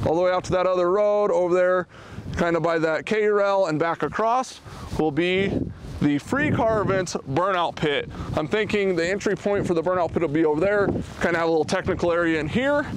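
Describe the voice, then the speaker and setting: A man's voice: a little high, a little hiss or hum, poor audio. A man in his thirties hosting a casual promotional vlog outdoors at a race track, filming himself at arm's length and talking energetically with his hands. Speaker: automotive vlogger, event organizer. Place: outdoors at an open, windy race track facility. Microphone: camera held at arm's length.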